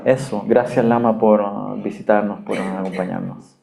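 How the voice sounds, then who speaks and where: A man hosting the event who thanks the guest in a few halting words. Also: lowish voice, steady tone, gravelly, mellow. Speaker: event host. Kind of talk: closing remarks and thanks.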